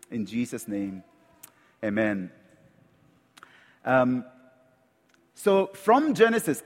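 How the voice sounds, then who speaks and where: A man's voice: medium pitch, a little mellow, in a large hall. A man from Egypt with an Egyptian accent, preaching a sermon as a visiting preacher, earnest and repeating words as he searches for his phrasing. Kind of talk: sermon with prayer. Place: church sanctuary.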